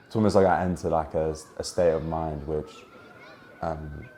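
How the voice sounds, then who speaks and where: Deep-voiced young man, softly dark tone. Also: fairly even intonation, moderate pace, a little hiss or hum. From Jordan and the UK. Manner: earnest, heartfelt. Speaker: documentary subject telling his family's story. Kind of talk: reflective personal testimony.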